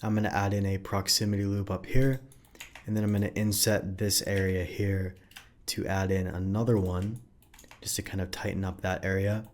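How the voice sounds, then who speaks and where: Low-voiced man walking through a tutorial. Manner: relaxed, thinks aloud while working, frequent fillers. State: slightly raspy from long recording sessions. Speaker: 3D modeling tutorial host. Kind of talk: casual screen-recorded software tutorial.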